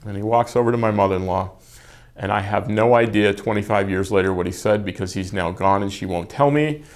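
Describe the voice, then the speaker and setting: A man's voice: lowish voice, fairly quick. A middle-aged man telling wedding stories in a dry, matter-of-fact way. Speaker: interviewee. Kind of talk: casual personal storytelling.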